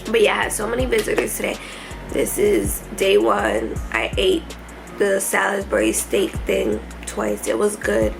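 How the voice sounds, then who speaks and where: A young woman talks in a relaxed, candid way from a hospital room, laughing easily. Her mid-pitched voice carries a lot of vocal fry, and she sounds tired and slightly stuffy. Music plays clearly in the background. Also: a little slow. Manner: chatty, candid, laughs often. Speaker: vlogger. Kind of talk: casual hospital vlog.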